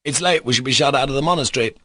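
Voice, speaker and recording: A man's voice: mid-range voice, very fast, bright, forceful tone, no echo, clean studio sound, voice pushed up. A man reading a novel aloud, voicing a spiteful young man with a venomous, jeering tone. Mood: scornful.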